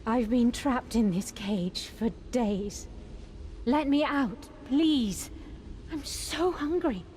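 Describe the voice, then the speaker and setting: A woman's voice: on the high side, slow, darkish tone, a little hiss or hum. A woman playing an earnest, grateful young nun held captive, speaking politely and formally in fantasy game dialogue. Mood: pleading, grateful. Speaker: game character. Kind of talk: voice-acted fantasy quest dialogue.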